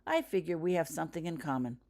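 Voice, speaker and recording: Woman as stubborn schoolboy, blunt, matter-of-fact, earnest, low-pitched female voice, audiobook dialogue. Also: quick pace, a little mellow.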